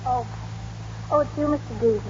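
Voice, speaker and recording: An actress playing a soft-hearted, wistful young American woman in a vintage radio drama, speaking in a gentle, probing way. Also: high voice, moderate pace, breathy edge. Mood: uneasy, subdued. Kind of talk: 1930s-40s radio drama acting. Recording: dull, noisy old radio broadcast.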